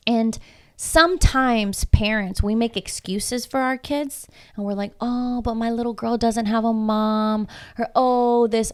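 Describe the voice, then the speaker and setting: A woman from Houston, Texas, whose first language is Spanish, talking as a podcast guest, emphatic and reflective and full of rhetorical questions. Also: mid-range voice. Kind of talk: casual podcast conversation.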